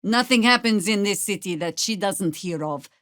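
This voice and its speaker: An actress playing a seasoned, sardonic older female druid warrior in a video game, with a wry, commanding, measured delivery. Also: medium pitch, animated, a bit bright, no room sound, studio quality, lightly acted. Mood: grave, urgent. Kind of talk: video game voice acting, dramatic dialogue and battle barks.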